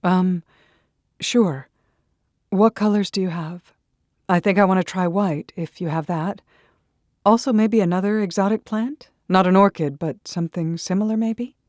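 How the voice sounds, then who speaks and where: Actress as a high-pitched, flustered, desperate woman. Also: darkish tone, no echo, studio quality, natural voice. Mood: nervous eagerness. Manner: flustered, halting, emphatic. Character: desperate addicted woman, nervous, pleading and eager. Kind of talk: dramatic audio fiction.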